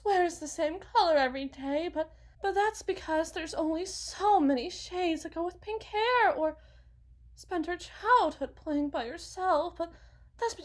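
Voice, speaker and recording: Casting: actress as fragile, self-blaming housewife and mother for audio roleplay; high voice, very unhurried, halting and defensive. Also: exaggerated voice. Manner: halting, rambling, self-correcting, sighing. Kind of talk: ASMR dramatic monologue roleplay.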